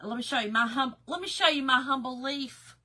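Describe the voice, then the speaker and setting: A middle-aged woman from Georgia with a Southern accent hosts a live craft stream, greeting viewers in a folksy, chatty way. Her voice sits high and sounds very bright and forceful. It carries a slight hoarseness. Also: brisk. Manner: folksy and chatty, calling viewers "girl" and "y'all". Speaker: craft livestream host.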